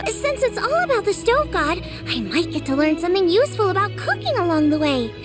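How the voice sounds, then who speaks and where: Teenage girl cook, very high girlish voice, lively swings, eager, impulsive, video game. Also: brisk. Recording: background music under the voice.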